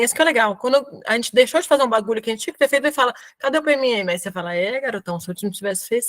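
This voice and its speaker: A woman in her thirties with a São Paulo accent, giving a casual, slangy talk with lots of fillers as a guest at an online community session. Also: on the high side. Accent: São Paulo Portuguese. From São Paulo, Brazil. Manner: chatty, informal slang, frequent fillers. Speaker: guest speaker presenting to a professional community. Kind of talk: casual live webinar talk.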